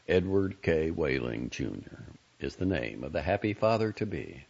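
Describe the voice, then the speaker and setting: A man acts out a miserable young-family father in an audiobook tale. He sounds sulky and sardonic, with a low, husky, hoarse voice full of bitter sarcasm. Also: sing-song, measured pace, darkish tone, lo-fi sound, heavily acted. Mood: wretched. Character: frowsy, wretched expectant father, bitterly sarcastic and sullen.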